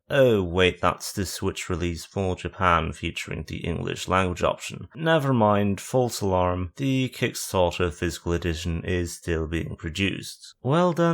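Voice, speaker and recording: A man with a somewhat low voice narrates a scripted commentary video. He reads through the facts steadily, then slips in dry, sarcastic jabs. The delivery stays plain and unhurried. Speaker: video essayist.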